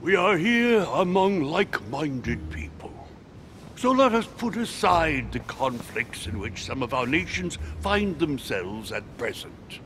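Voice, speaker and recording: A man's voice: a little high, big pitch swings, unhurried, a bit bright, a little hiss or hum. An actor playing a genial, dignified American statesman, speaking in a gracious, formal, oratorical manner for a video game. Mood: gracious, jovial. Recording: music behind the voice.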